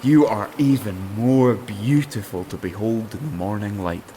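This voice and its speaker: Man playing a low-voiced devoted suitor. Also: a little slow, noisy background, lightly acted. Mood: adoring, earnest. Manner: earnest, reverent. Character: adoring, devoted mortal male suitor. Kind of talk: audio drama acting.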